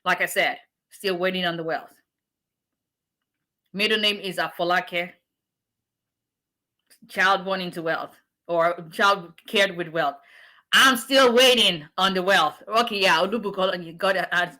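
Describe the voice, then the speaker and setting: A woman's voice: fairly low pitch, measured pace, pauses often, sharp tone. A woman from Nigeria, an immigration attorney chatting casually on a livestream, greeting viewers by name and giving encouragement like a preacher.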